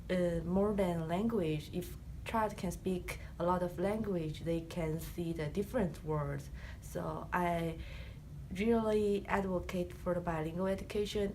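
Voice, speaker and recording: A woman's voice: on the low side, a little mellow, a little hiss or hum, rough recording. A woman from China speaking English earnestly with fillers in a student presentation. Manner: earnest, with fillers and simple phrasing. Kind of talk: casual spoken presentation.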